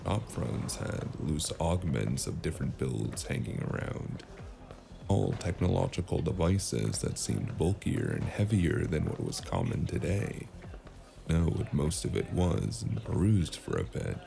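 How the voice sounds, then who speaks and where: A man's voice: extremely low pitch, big pitch swings, measured pace, gravelly, full character voice. A man playing a dry, weary, hesitant investigator in a cyberpunk noir audio drama. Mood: brooding narration. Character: male freelance investigator, dry, weary, awkwardly flirtatious noir loner. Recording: music behind the voice.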